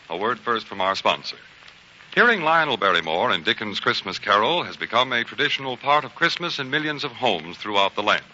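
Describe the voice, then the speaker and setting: A man's voice: mid-range voice, bright, forceful tone, lo-fi sound, slight background noise. A man with an American accent making formal, emphatic announcements and scripted ad reads in 1940s radio style. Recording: band-limited 1940s radio recording.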